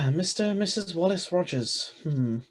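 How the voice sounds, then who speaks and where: A man's voice: high voice, animated, measured pace. Man acting flustered ordinary husband, radio play. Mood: puzzled, domestic. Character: ordinary married man, flustered, narrating his own story. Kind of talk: 1940s radio acting read aloud. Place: video call reading.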